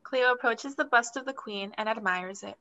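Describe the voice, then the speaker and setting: A young woman narrates stage directions for a play reading. Her voice is bright and fairly high, delivering scripted lines over a video call. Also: normal speed, highs cut off.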